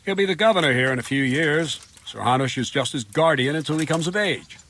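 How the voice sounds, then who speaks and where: A man's voice: fairly high pitch, a little fast, fairly bright tone, slight background noise. A man playing a plainspoken, dutiful, slightly grumbling guard captain in a video game, blunt and matter-of-fact. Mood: grumbling, informative. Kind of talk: video game dialogue acting.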